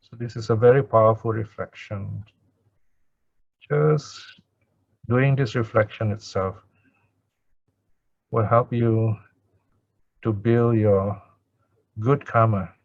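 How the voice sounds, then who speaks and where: A man who is a Buddhist teacher leading chanting and guided meditation in a calm, measured way with pauses, on dull audio. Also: lowish voice, gravelly.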